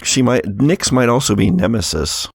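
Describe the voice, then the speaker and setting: A man improvising narration as a game master at a tabletop game, phrasing things in a halting, thoughtful way. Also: medium pitch, expressive, vocal fry, husky, dry recording.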